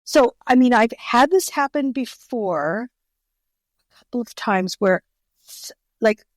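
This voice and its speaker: A woman's voice: medium pitch, no echo. An older woman whose first language is Greek, telling stories earnestly in an interview as an author guest and often restarting her words. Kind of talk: conversational interview storytelling.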